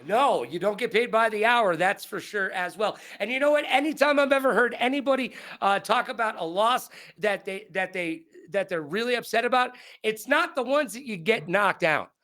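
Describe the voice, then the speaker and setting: Bright, high-pitched male sports interviewer. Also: animated, moderate pace, few pauses. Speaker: sports interview show host.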